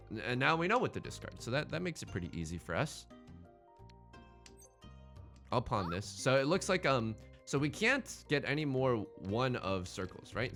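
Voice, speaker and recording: A man's voice: medium pitch, a bit bright. A man hosting a gaming let's play in casual, bantering commentary, thinking aloud and often repeating his phrases.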